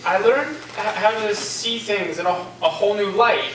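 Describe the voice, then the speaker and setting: A man's voice: sits high, a little slow. Male actor as earnest student, slightly hesitant, casual staged reading, far from mic, very echoey. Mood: earnest, appreciative. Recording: very echoey room, far off, faint noise.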